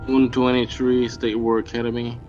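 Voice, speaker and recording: A man chatting on voice chat while gaming, calm and matter-of-fact, giving helpful tips. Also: mid-range voice, moderate pace, faint music behind. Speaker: experienced fellow player. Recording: dull lo-fi voice-chat mic.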